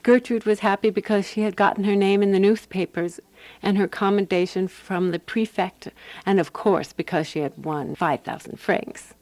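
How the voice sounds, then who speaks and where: A woman actor playing a composed, wry woman who narrates a radio drama in a measured, dry manner. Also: mid-range voice, steady tone, darkish tone, no room sound, close to an everyday voice. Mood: dry, satisfied.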